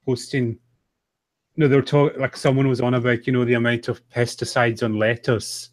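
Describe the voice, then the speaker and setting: A man's voice: mid-range voice, fairly even intonation, normal speed, no echo. Casual man, close dry sound. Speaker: podcast co-host. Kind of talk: casual conversational chat.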